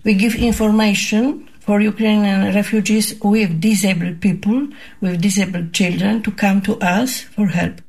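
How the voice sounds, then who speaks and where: A middle-aged or older woman with a Polish accent, answering interview questions in halting, simple second-language English. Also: mid-range voice, steady tone, gravelly, darkish tone, husky, low-quality recording. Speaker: charity founder, interviewee.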